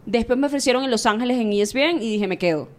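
A Venezuelan woman in her late thirties, a sports journalist telling stories candidly in a relaxed interview. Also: fairly high pitch. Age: 30s. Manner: chatty and candid, rapid storytelling. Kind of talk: casual interview chat.

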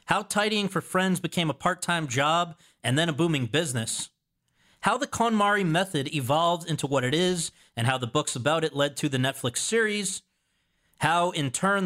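A man's voice: fairly high pitch, fairly bright tone. A man hosting an interview podcast, recorded in an office. He moves from a scripted introduction into easy, conversational questions. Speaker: podcast host.

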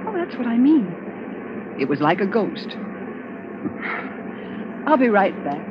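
An actress playing an anxious, inquisitive young fiancée in 1940s radio drama style, on a muffled, noisy old recording. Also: female voice, mid-range voice, very animated, a little slow. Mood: nervous.

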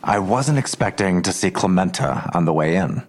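A menacing police detective in an audio drama. The voice is low, and the questioning is controlled and unhurried. He taunts coolly and insinuates. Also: animated. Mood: cool, probing.

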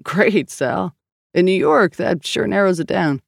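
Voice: mid-range voice, lively intonation, a little slow, vocal fry, no echo, pitched above the performer's own voice, heavily acted. An audiobook narrator playing a weary, skeptical male police partner, blunt and dryly sardonic. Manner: dry, sardonic, reluctant.

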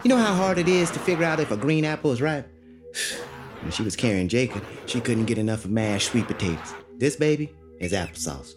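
A man's voice: medium pitch, animated, brisk, close to an everyday voice. An actor playing an earnest, humble family man with a folksy Southern US accent in an audio drama. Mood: chatty. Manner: folksy, conversational. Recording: music behind the voice.

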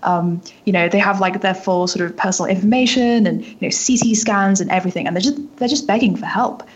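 A woman's voice: medium pitch, brisk, dull audio, slight background noise. A woman reporter giving conversational interview answers in an informal way, often saying "like" and "kind of". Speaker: newspaper reporter being interviewed.

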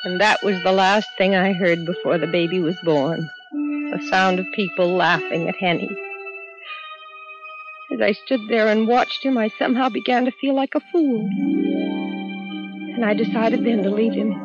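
A woman's voice: medium pitch, normal speed, pauses often, natural voice. An American actress playing an exasperated, practical young wife and new mother in a 1950s radio drama. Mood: sad, resigned. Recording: muffled old radio, loud music underneath.

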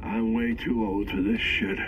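A weary, skeptical aging man in an audio drama. He talks in a wry, halting manner at a middle pitch, with clear vehicle-like noise around him. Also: lively intonation, muffled recording.

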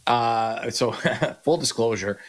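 A man's voice: medium pitch, slow. A man co-hosting a sports radio show, rambling and restarting sentences as he thinks aloud. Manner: rambling, restarts sentences, acts out quoted reactions.

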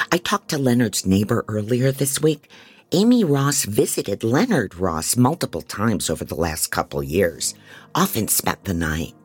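Sneaky, frazzled female private eye for fiction podcast: husky, clipped, animated, music underneath. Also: extremely low pitch, full character voice. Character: frantic, disheveled unlicensed private investigator woman, sneaky and scattered. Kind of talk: audio drama acting.

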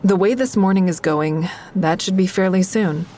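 A woman's voice: mid-range voice, normal speed, dark tone, a little hiss or hum, close to an everyday voice. An actress playing a guarded, wry woman who narrates her troubled romance in an intimate, confiding audio drama style. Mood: measured, guarded. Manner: confiding, dry, introspective.

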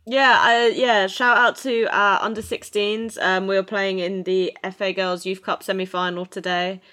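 A woman co-hosting a football podcast, speaking in a measured way with some hesitant fillers. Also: medium pitch, little pitch movement, pushed and bright. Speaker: podcast co-host. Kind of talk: casual podcast chat.

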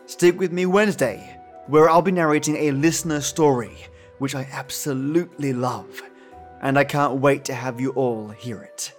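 A man's voice: mid-range voice, normal speed, faint music behind. A man hosting a podcast, speaking to his listeners in a chummy, affectionate way. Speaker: podcast host. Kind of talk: podcast outro, addressed to listeners.

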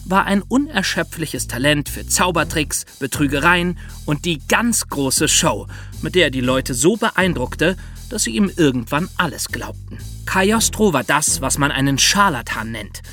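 Bright, high-voiced man explaining, light music behind. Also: animated, a little slow. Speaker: podcast co-host.

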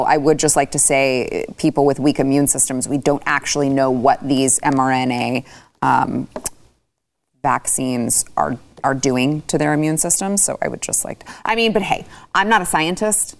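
A woman's voice: extremely low pitch, gravelly. A woman hosting a political talk show, opinionated and sarcastic as she speaks. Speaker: host.

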